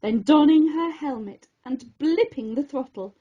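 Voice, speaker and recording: High-voiced, animated woman reading a bedtime story. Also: a little slow, lo-fi sound. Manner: expressive, theatrical. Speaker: storybook reader.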